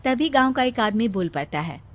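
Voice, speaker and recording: Calm woman narrating a storybook. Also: fairly high pitch, moderate pace, dry recording.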